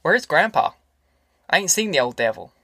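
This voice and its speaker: A man's voice: fairly high pitch, very animated, moderate pace, sharp tone, slightly rough, dry recording, clean studio sound, full character voice. A man voicing an easygoing young farm son in an audiobook, plain-spoken, with a rural Southern American accent. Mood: curious. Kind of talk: audiobook character acting.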